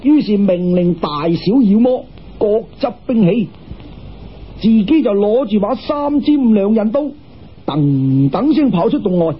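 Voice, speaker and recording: 一个有粤语口音嘅男说书人，生动咁讲民间故事，录音闷闷哋。. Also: male voice, sits high, lively intonation, unhurried, husky. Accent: Cantonese. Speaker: storyteller. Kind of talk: animated folk-tale storytelling. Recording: dull, muffled radio-style recording.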